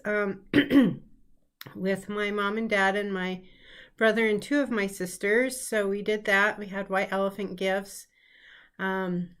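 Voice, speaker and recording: A middle-aged woman hosting a casual live-stream chat as a quilting teacher, a little hoarse from a cold, chatty with lots of ums and throat clearing. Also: medium pitch, a bit bright. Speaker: quilting teacher and show host. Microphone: computer setup, live stream.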